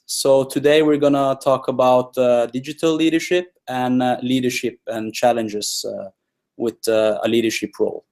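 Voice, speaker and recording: A man hosts an interview over a video call, introducing his guest and asking questions. He talks hesitantly and fills his pauses with long sounds. His voice sits at a middle pitch. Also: darkish tone. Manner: hesitant, many uh fillers. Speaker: interview host.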